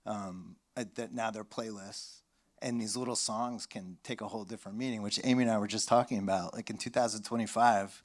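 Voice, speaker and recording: Low-voiced man on a panel. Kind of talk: casual panel discussion.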